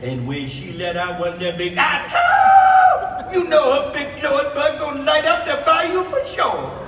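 A man's voice: extremely high pitch, huge pitch swings, unhurried, heavy background noise, heavily acted. A man voicing a small, lovestruck, feisty cartoon firefly live, folksy and exuberant, with a Cajun accent. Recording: distant, echoey convention hall recording.

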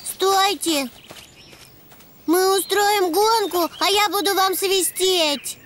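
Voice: very high-pitched, takes it slowly, fairly bright tone, a little hiss or hum, full character voice. A performer voicing a cheerful, bossy little boy donkey of preschool age in a cartoon dub, with simple, eager childlike phrasing. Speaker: cartoon character. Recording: music behind the voice.

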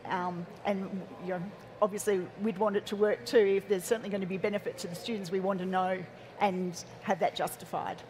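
A woman's voice: medium pitch, flat delivery, a little fast, darkish tone, slight background noise. A woman speaking as an ICT director on a conference panel, friendly and matter-of-fact. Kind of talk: panel discussion answer.

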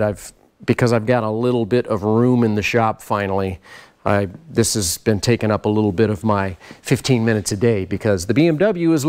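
A middle-aged man giving a casual walk-around tour of his race car shop, explaining parts in a relaxed, practical way. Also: medium pitch, clear, resonant tone. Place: large garage workshop, indoors.

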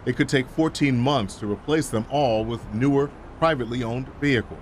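A middle-aged man reporting an investigative news story in a measured, emphatic scripted delivery. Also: mid-range voice, slight background noise.

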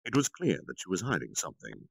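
A man reading a story aloud on a livestream, with expressive narration and dramatic, measured phrasing. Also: low voice, vocal fry, no room sound, rough recording. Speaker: storytime streamer, reader.

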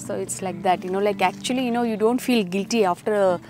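Brief: middle-aged woman, relaxed vlog co-host, chatty, music bed. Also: mid-range voice, very fast. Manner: conversational, full of "you know" fillers. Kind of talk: casual vlog chat. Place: outdoors in a garden. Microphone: lapel mic.

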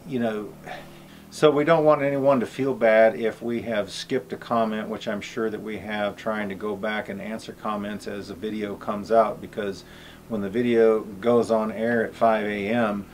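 A man's voice: lowish voice, little pitch movement, moderate pace, slight background noise. A middle-aged man talking casually to camera on an outdoor cabin porch, rambling with many ums and uhs. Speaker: homestead YouTuber.

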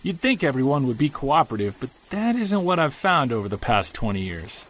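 An actor playing a weary middle-aged Bigfoot investigator, narrating an audio diary in an earnest, confessional way. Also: male voice, mid-range voice, big pitch swings, brisk. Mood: troubled. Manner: confessional, earnest, quietly troubled. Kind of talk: scripted audio drama narration.

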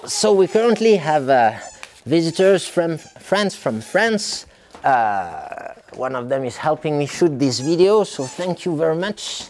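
A man's voice: on the high side, big pitch swings, measured pace, darkish tone, slight background noise. Hesitant man rambling in a factory. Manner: hesitant, frequent filler sounds and restarts. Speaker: tea farmer and producer. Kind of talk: casual rambling vlog narration. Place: tea factory, indoors by a hot wok.

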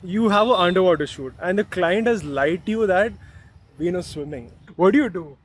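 A young man interviewing a guest at an outdoor cafe, firing off eager, quick questions. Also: high-pitched, breathy edge. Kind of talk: casual interview.

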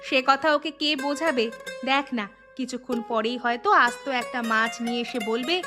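A woman's voice: a little high, fairly even intonation, moderate pace, close to an everyday voice. A woman voicing a doting, chatty village mother and housewife in a Bengali cartoon story, with a Bengali accent. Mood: caring. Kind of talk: Bengali animated story voice acting. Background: plucked-string music under the voice.

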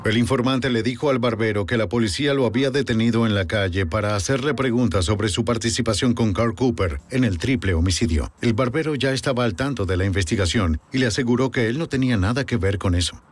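A man giving measured scripted narration for a crime documentary, recorded as a voice-over. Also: medium pitch, soft background music.

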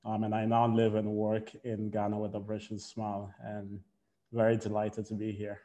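A man's voice: on the low side, completely flat delivery, moderate pace, dark tone. A man from Cameroon introducing himself on a video call panel, friendly and upbeat.

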